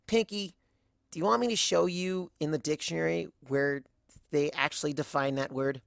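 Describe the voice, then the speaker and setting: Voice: mid-range voice, steady tone, moderate pace, creaky, fairly bright tone, dry recording, clean studio sound. A narrator voicing an anxious, brainy young female pony who talks in wordy, awkward bursts. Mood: protesting.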